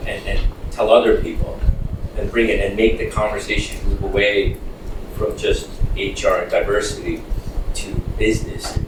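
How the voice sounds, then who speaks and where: A middle-aged man introducing himself informally as a panelist at an event. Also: deep voice. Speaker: panelist, wealth management director. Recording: echoey noisy room, distant mic, hum.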